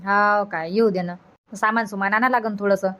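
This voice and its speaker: A woman's voice: medium pitch, fairly even intonation, normal speed, sharp tone, natural voice. A woman voicing a caring, practical village mother in a cartoon comedy, chatty and advising, with a rural Vidarbha Marathi accent.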